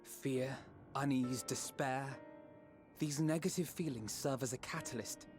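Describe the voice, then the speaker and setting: Analytical scholar, fantasy game, male actor. Middle register, grave and deliberate, prominent score behind. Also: mid-range voice, over music, lightly acted. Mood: grave, pondering. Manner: measured, deliberate, formal.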